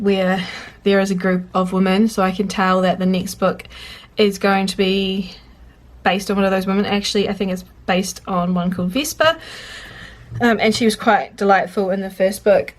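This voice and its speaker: A woman's voice: mid-range voice, steady tone, moderate pace. A woman who is a bit congested chats in a rambling way while she fills in a journal, stopping to correct herself and talk to herself as she works. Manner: rambling, self-interrupting, talks to herself while working. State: congested, sniffly. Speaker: journaling vlogger. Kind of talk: chatty real-time journaling commentary. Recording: faint clicking and background noise.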